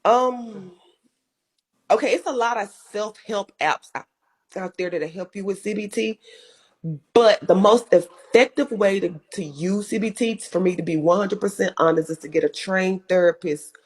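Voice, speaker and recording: A woman's voice: mid-range voice, moderate pace, a little mellow, slightly rough. A woman with a strong voice giving earnest advice in a casual chat, repeating her points for emphasis. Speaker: guest in livestream chat.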